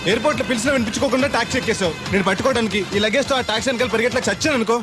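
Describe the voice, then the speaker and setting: High-pitched, clingy, chatty traveller. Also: male voice, racing. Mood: eager, friendly. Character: eager, clingy, chatty comic fellow traveller. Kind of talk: Telugu film comedy acting. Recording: music and vehicle noise in soundtrack.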